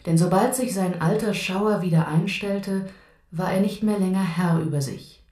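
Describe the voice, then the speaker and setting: An actress playing a sombre audiobook reader on a cassette in a radio play, with measured literary delivery. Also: female voice, fairly low pitch, a little mellow, natural voice. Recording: roomy cassette playback with faint music.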